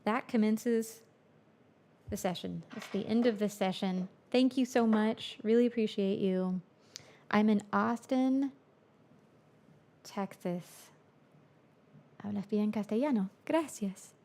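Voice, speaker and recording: A woman's voice: mid-range voice, slow pace, pauses often, mellow. A young woman hosting a music livestream from her home studio, chatting casually with viewers and answering their chat, now and then in Spanish.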